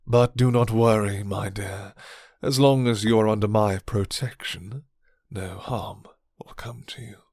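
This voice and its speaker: Vampire lord male performer: seductive, menacing, commanding; unhurried formal delivery, breathy half-whispers, dry ASMR sound. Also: lowish voice, fairly even intonation, a little mellow, no echo. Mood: reassuring. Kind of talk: ASMR roleplay.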